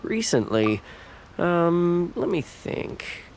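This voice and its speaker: A man's voice: high voice, very animated, extremely slow, a little hiss or hum, exaggerated voice. A man playing a grateful, plain-spoken islander in a video game, musing with some hesitation. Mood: content, musing. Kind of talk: video game character acting.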